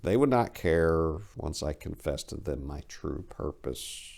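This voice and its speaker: A man giving a theatrical, measured dramatic reading of a literary short story as its narrator. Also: rumbling low, animated, vocal fry, darkish tone.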